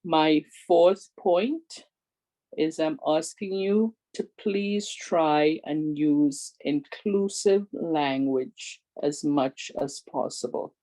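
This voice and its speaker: Slow, earnest older woman presenter. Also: low-pitched, mellow, poor audio. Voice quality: big, strong voice. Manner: earnest, pleading, repeats requests. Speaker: presenter and advocate. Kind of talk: community awareness talk.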